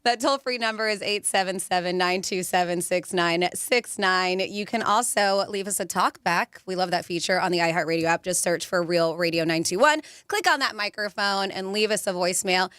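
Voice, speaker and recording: A woman's voice: fairly low pitch, moderate pace, hardly any pauses, a bit bright, clear, resonant tone, dry recording. A woman hosting a morning radio show with casual, chatty banter. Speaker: radio host. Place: radio studio. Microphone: close studio mic.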